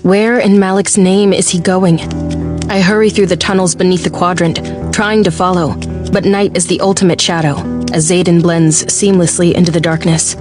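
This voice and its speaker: An actress playing a determined, anxious young military cadet, narrating a fantasy audiobook drama in the first person. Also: female voice, lowish voice, natural voice. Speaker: lead narrator. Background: music.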